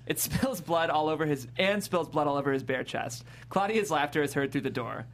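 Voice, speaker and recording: A woman's voice: low voice, brisk, a little hiss or hum. A woman reading out screenplay stage directions as a narrator. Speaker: script narrator.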